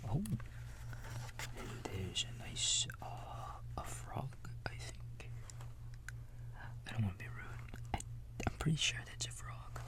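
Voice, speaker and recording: A man's voice: on the low side, sing-song, takes it slowly, pauses often. A man whispering an ASMR bedtime story as a babysitter, soothing and casual, with rambling asides to a child listener. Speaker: ASMR roleplayer playing a babysitter.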